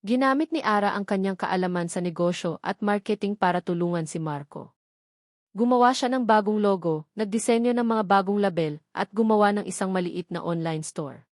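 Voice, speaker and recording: A woman with a Filipino accent reads a scripted romance story as narrator, with measured, expressive dramatic delivery. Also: medium pitch, no echo, studio quality.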